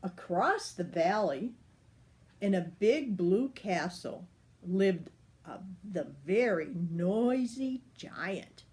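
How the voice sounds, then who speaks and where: An older woman reading a picture book aloud for children in an expressive, unhurried way. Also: mid-range voice. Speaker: storyteller.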